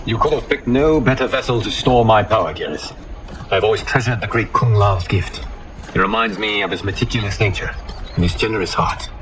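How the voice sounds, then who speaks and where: An actor playing a noble, burdened god and protector in a video game, speaking gravely and formally. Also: male voice, lowish voice, brisk, noisy background, lightly acted. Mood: earnest, grateful. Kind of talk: video game cinematic acting. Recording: music behind the voice. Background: music.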